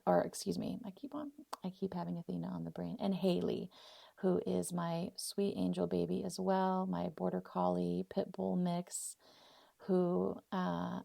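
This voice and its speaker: A woman's voice: fairly low pitch, creaky, mellow, no echo, clean studio sound. A 39-year-old woman with an American accent hosting a casual, personal solo podcast, speaking off the cuff in effusive, heartfelt, spiritual phrasing. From Arizona, US; born in Poland. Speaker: podcast host.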